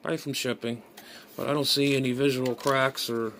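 Male hobbyist vlogger, mid-range pitch, halting think-aloud delivery, casual and unrehearsed, noisy phone recording. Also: steady tone. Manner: hesitant, muttering, thinking aloud. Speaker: amateur radio hobbyist vlogger. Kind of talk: casual unscripted gadget unboxing commentary. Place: small bedroom, indoors. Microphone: phone at arm's length.

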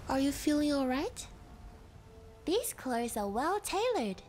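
A woman's voice: high voice, very animated, takes it slowly, darkish tone, soft background music, heavily acted. A woman voicing an obliging, attentive young female healer companion in a video game. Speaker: game character voice.

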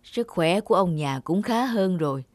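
A woman voicing a kindly, conscientious old male village healer in a children's cartoon, giving advice in a measured, earnest way. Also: on the low side, lively intonation, darkish tone, a little hiss or hum, natural voice. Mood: advising. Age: older. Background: music.

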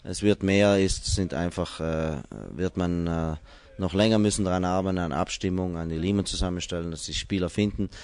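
A middle-aged man with a very low voice speaks in a measured, halting way, pausing often on fillers. He is a coach being interviewed in front of a sponsor wall, talking into a handheld microphone held close. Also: steady tone, darkish tone, smooth. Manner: measured, hesitant with many fillers. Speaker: head coach. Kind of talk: post-training interview. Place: indoor press wall, small room. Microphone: handheld mic held by interviewer.

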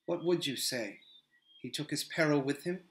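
A man voicing a grave, noble male captain of men who speaks slowly and softly. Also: fairly high pitch, close to an everyday voice. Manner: slow, soft, measured. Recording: roomy echo.

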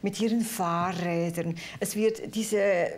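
A woman's voice: fairly low pitch, fairly even intonation, measured pace, a little mellow, a little hiss or hum. A woman from Switzerland with a Swiss accent, a literary critic on a televised panel, speaking in an engaged, descriptive way with frequent hesitations. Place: TV studio with a live audience.

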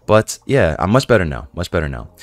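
Low-voiced hoarse young man chatting gameplay. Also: big pitch swings, a little fast, no room sound. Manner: chatty, rambling, many fillers and self-questions. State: hoarse from a cold. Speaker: gaming YouTuber. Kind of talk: casual game commentary to viewers.

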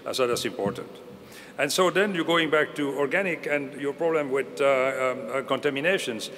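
A man's voice: medium pitch, normal speed, a bit bright. An older man on a conference panel speaks earnestly, restarting and circling back as he reasons aloud. His voice carries a long reverb from a large hall, with faint ambient hiss behind it. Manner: earnest, restarts and hedges often. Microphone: table mic.

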